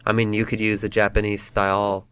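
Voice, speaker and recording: A man with a lowish voice co-hosting a relaxed group chat. He wanders through his points and often restarts mid-thought. The sound is close and dry. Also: brisk, clear, resonant tone, no room sound. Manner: rambling, restarts often, thinks aloud. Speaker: podcast co-host. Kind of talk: casual roundtable chat.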